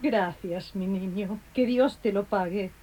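A woman's voice: medium pitch, measured pace, background noise, close to an everyday voice. An actress playing a forgetful old neighbourhood woman in a radio drama, slipping Spanish blessings into her speech and repeating herself. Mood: kindly. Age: older. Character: old, squint-eyed, forgetful Spanish-speaking neighbourhood matriarch.

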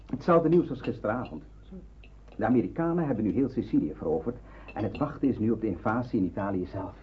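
Radio drama part: cheerful young man male actor, confident, lively intonation, thin sound with faint noise. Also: fairly low pitch, fast talker, highs cut off, natural voice. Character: cheerful, optimistic young man in wartime hiding. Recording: thin, noisy old radio recording.

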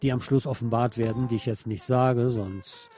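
A man's voice: medium pitch, steady tone, moderate pace, warm tone. A man hosting a radio show, reviewing films in a partly scripted, partly conversational way, with opinionated asides to his listeners. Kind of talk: film review, part scripted narration, part conversational.

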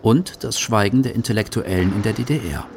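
A man's voice: lowish voice, fairly quick, a crowd in the background. A man reading scripted narration for a radio feature as its documentary narrator.

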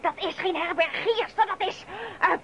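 A woman's voice: extremely high pitch, moderate pace. An actress playing a stern, urgent witch-hunter in a radio drama, grave and commanding. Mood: urgent warning. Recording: noisy old radio play recording.

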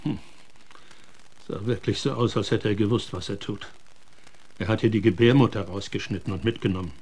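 A seasoned town doctor of the old West, with a fairly low voice. He talks frankly and matter-of-factly in a radio-play style. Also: fairly even intonation, brisk, darkish tone, breathy edge. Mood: clinical, pondering. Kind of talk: German radio drama acting.

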